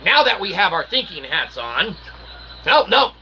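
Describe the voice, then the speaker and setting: A man with a creaky voice delivering a rambling philosophical monologue in verbose, mock-formal wording, constantly tagging phrases with "okay" and "all right". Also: high-pitched, big pitch swings, unhurried, a crowd in the background, low-quality recording. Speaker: independent researcher and channel host.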